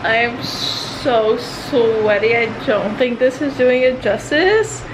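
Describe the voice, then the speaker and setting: A woman's voice: a little high, takes it slowly, background noise. A woman chatting casually in a vlog, rambling along in a self-deprecating way. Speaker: vlogger.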